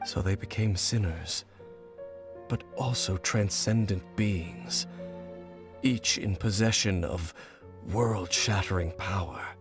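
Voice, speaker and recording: A grave, solemn game wanderer. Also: male voice, low-pitched, over music. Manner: solemn, measured, formal. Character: grave, mysterious male wanderer, guarded and solemn.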